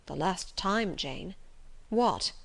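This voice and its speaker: Woman reading a tempestuous middle-aged gentleman, loud, impassioned, urgent, storybook reading. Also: mid-range voice, expressive, extremely slow, vocal fry, full character voice. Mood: pleading, insistent. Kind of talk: audiobook reading.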